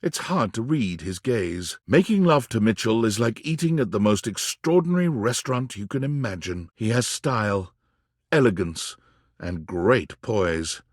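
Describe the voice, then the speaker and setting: A man narrating a whimsical game as a wry, florid storyteller, with measured, theatrical delivery that relishes every flourish. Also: fairly low pitch, expressive, no room sound, studio quality. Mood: sensual, florid. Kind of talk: scripted narration.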